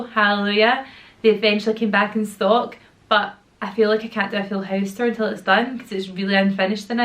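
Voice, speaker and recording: A woman in her thirties with a Glaswegian Scottish accent talks through a casual question-and-answer chat at home. Her voice sits at an ordinary middle pitch, a little rough from a sore throat. She rambles happily and slips into slang. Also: fairly bright tone. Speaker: YouTuber and mum. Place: at home with children nearby.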